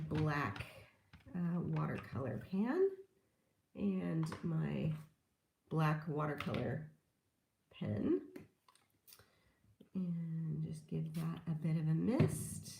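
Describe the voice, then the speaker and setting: A woman demonstrating papercrafting in a gentle, chatty tutorial, a little hoarse from a lingering cold. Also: sits low, takes it slowly, long pauses, roomy sound, a little hiss or hum. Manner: gentle, chatty, laughs softly while working. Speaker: papercrafting instructor. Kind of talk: casual craft tutorial demonstration.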